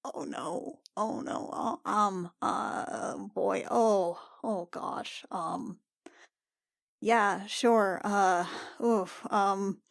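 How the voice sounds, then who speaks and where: A woman playing a tabletop game, improvising casually in character with a halting, flustered, awkward manner. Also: medium pitch, steady tone, vocal fry, no room sound.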